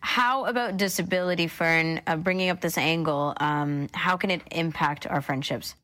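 Female co-host, lower register, conversational radio discussion. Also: moderate pace, pushed and bright, rough recording.

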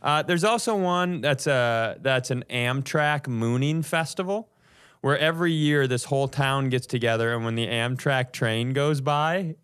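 A man hosting a podcast in casual comedic chat, telling stories in an animated way with mock-serious build-ups. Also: mid-range voice, a little slow, clean tone. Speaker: podcast host.

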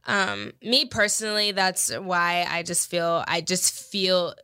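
A woman's voice: fairly low pitch, lively intonation, slow pace, sharp tone, clear, resonant tone, dry recording, clean studio sound. A woman co-hosting a casual chat podcast, stuffy and congested from allergies, rambling with lots of fillers. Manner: chatty, rambling with many fillers.

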